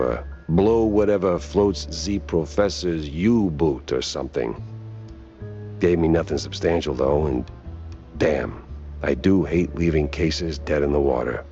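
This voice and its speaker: A very low, world-weary gumshoe from a noir cartoon game. He talks in a wry, laconic drawl, hardboiled 1930s style. The sound is dull and lo-fi, with music behind him. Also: a little slow, dark tone. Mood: dry, weary. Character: world-weary wisecracking male noir private detective, cartoon mouse.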